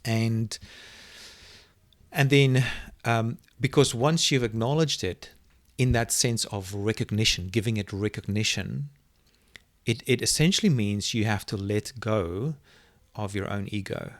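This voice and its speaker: A middle-aged man whose first language is Afrikaans, reflectively telling a personal story as an interview guest and often pausing to search for words. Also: on the low side, dry recording, clean studio sound. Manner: thoughtful, searching for words, many restarts.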